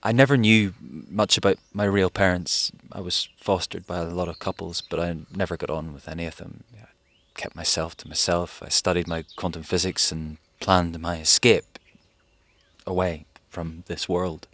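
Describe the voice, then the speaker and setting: An actor playing a haunted fugitive physicist in a wartime audio drama, musing hesitantly as if recording a diary. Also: male voice, low-pitched, fairly even intonation, moderate pace, fairly bright tone. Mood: brooding, uneasy. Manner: hesitant, musing, with sighs and broken phrases. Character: haunted, rambling fugitive physicist, man living through a war.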